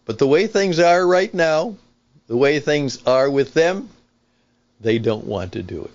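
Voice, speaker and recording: An older man hosting a talk show with a conversational opinion monologue, speaking in an emphatic, deliberate, explanatory way. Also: a little high, animated, a little mellow, dull audio. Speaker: podcast host and veteran broadcaster.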